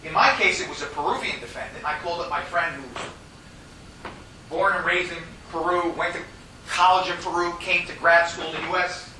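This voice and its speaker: American man, law lecturer, high-pitched and conversational, teaching in a very reverberant room. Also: steady tone, moderate pace, pushed and bright. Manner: emphatic, conversational, acts out quoted dialogue. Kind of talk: informal classroom lecture. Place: classroom, recorded from a distance. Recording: echoey room, distant mic, faint noise.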